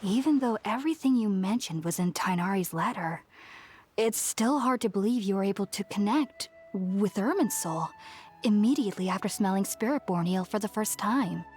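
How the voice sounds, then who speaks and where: A woman playing a gentle, earnest young woman scholar in a video game, speaking in a polite, measured, reflective way. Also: mid-range voice, lively intonation, breathy edge. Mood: grateful, polite. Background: music.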